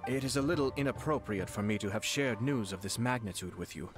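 A man voicing a composed young noble clan head in a game, formal, measured and courteous. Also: mid-range voice, music in the background, lightly acted. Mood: poised.

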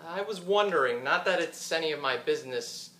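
An actor playing a friendly, placating middle-aged husband on a theater stage. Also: male voice, on the high side, expressive, brisk, pushed and bright. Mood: chatty. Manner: affable, placating. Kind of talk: stage play acting. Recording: roomy stage sound.

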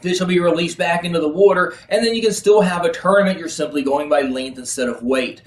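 A man's voice: on the high side, quick pace. A middle-aged man hosting a fishing video, explaining things to camera in a casual, earnest way, with frequent restarts and fillers. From Pennsylvania, USA. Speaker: kayak fishing video host. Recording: roomy, slightly distant sound.

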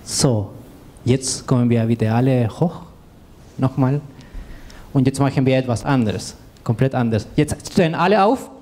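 A man's voice: medium pitch, normal speed, pauses often, mellow, a little hiss or hum. A man in his thirties from Chile, a native Spanish speaker with a Chilean accent, coaching an audience informally in simple, direct non-native phrasing. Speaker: co-presenter, exercise coach. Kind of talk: informal stage talk with guided exercise. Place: indoor event room with seated audience. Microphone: handheld microphone.

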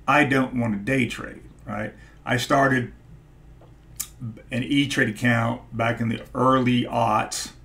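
A man in his forties with a stutter, talking casually to camera in a halting way, searching for his words. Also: medium pitch, creaky, fairly bright tone. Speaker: YouTube host, stock investor. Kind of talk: casual vlog talk to camera. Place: small home office, indoors.

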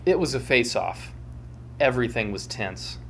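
A man in his twenties hosting a dramatic comic reading in a theatrical, mock-serious manner with deliberate enunciation. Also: medium pitch, animated. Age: 20s. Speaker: show host and reader. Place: small home room with bookshelves, indoors. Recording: clear background hum and noise.

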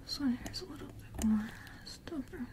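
A woman softly whispering in a breathy, gentle, reassuring way, as a caring dental hygienist in an ASMR roleplay. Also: on the high side, lively intonation. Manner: gentle, reassuring, unhurried personal attention. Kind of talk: soft-spoken ASMR roleplay.

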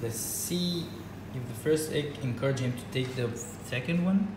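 Egyptian man, halting, in an echoey room. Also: mid-range voice, noisy background. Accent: Egyptian Arabic. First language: Arabic. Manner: halting, with frequent fillers and restarts. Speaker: reptile keeper. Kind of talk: casual how-to demonstration. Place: indoors at a table.

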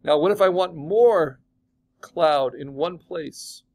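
A man teaching a digital art class, chatting casually and asking his students questions as he demos the software. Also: on the high side, slow. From California. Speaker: art instructor.

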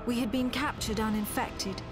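Actress doing a british army woman, serious and measured game cutscene narration, music behind it. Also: a little high, fairly even intonation, close to an everyday voice. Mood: grave, measured. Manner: measured, grave, precise. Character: composed British female military officer recounting a war. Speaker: narrator and soldier.

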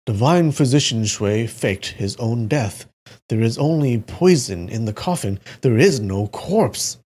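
A narrator voicing a grave, fearless old monk with a firm, measured manner. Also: medium pitch, lively intonation, a little mellow, husky.

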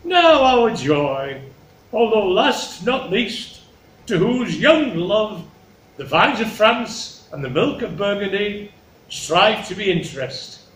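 Vain old monarch, acted by a man, commanding and stately, raised pitch, roomy stage-play sound. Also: very animated, fairly bright tone, exaggerated voice. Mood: doting. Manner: slow, stately, commanding declamation. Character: vain old king demanding flattery from his daughters. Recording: roomy, distant mic, faint noise.

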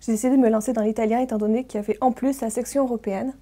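A woman in her twenties, a master's student, explaining her studies quickly as an interview guest in a studio. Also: a little high, fairly even intonation, mellow. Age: 20s. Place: TV studio set.

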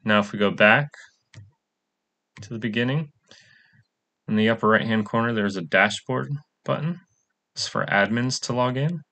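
Man with a gravelly low voice. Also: normal speed, pauses often, bright, forceful tone. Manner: casual, many "um" fillers, plain walkthrough. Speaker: coding bootcamp student presenting a project. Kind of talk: screen-recorded project demo.

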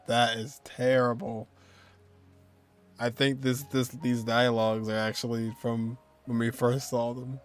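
A man's voice: medium pitch, little pitch movement, a little slow. Male streamer, 20s, laid-back casual reaction commentary, faint game music behind.

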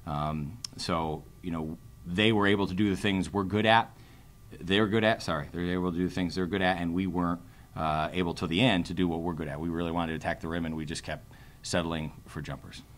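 A middle-aged man, a basketball coach, answering press conference questions in a measured, halting, analytical way. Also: deep voice, steady tone. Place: indoor press conference room, seated at a table. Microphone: tabletop microphone.

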